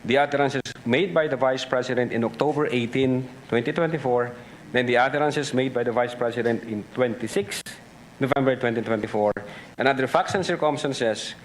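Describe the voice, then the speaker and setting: A Filipino man gives formal witness testimony in a mid-pitched voice. He is deliberate and mixes English with Tagalog, with faint noise behind him in what sounds like a broadcast hearing. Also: poor audio.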